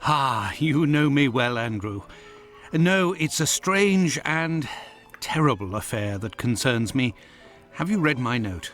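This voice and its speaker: Earnest British doctor, lively intonation. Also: male voice, mid-range voice, slow, soft background music. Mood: concerned. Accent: British English. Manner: earnest, polite, inquiring. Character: earnest, concerned male Victorian English doctor, detective's companion. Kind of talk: dramatic video game dialogue.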